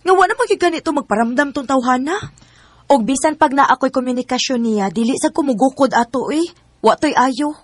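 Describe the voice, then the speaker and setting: A woman's voice: high-pitched, expressive, fairly quick, no echo. A woman with a Cebuano accent playing a hurt but devoted young single mother in a radio drama, emotive and pleading. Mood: weary, frank. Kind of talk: Bisaya radio drama acting.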